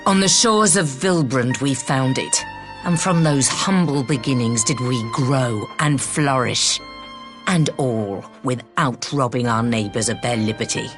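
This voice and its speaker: An actress playing a proud, defiant leader of a seafaring nation in a fantasy video game, firm and formal in archaic phrasing. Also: female voice, rumbling low, measured pace, over music.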